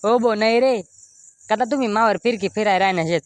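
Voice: medium pitch, brisk, no echo, close to an everyday voice. A performer voicing a boastful, defensive village man in a comedy cartoon, with a Khandeshi Ahirani accent.

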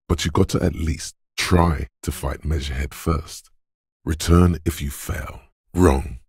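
A man playing a gruff, domineering inner voice of brute strength in a video game, blunt and deliberate in delivery. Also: darkish tone, no echo, studio quality, full character voice. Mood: blunt goading. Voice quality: gravelly, husky bass. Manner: blunt, domineering gym-coach goading mixed with slow literary narration. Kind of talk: video game voice acting, scripted narration.

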